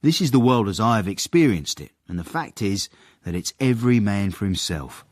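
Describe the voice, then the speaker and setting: An actor playing a sly, knowing manservant who narrates a period radio drama with wry, mock-grand flourishes. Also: male voice, fairly low pitch, lively intonation, brisk, slight background noise, natural voice. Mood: wry, confiding.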